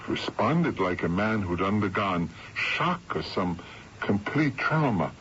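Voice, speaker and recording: An actor playing a grave, puzzled hospital doctor in a radio drama, speaking formally and earnestly. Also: male voice, on the low side, big pitch swings, slow, fairly bright tone, exaggerated voice. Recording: dull, noisy old radio broadcast.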